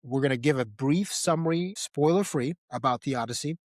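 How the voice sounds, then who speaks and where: A man's voice: fairly high pitch, fairly quick, no echo. Man hosting a podcast, close dry sound. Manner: emphatic, repeats phrases for effect. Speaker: podcast host. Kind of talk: casual film discussion podcast.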